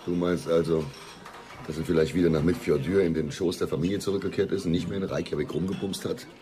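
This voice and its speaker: An actor playing a blunt, impatient police detective with an offhand manner in a German radio drama. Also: male voice, low-pitched, steady tone, fast talker, mellow, natural voice. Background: music.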